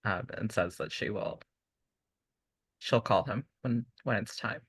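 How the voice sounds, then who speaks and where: A man's voice: on the low side, a little slow, a bit bright, slightly rough, no echo. A man playing a tabletop game, talking casually and tentatively as he describes what his character does.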